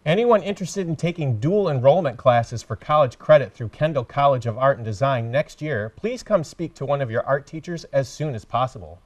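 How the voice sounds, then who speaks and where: A man's voice: medium pitch, recorded from a distance. A man co-anchoring a student news show, reading school announcements in a studio. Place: studio with curtain backdrop.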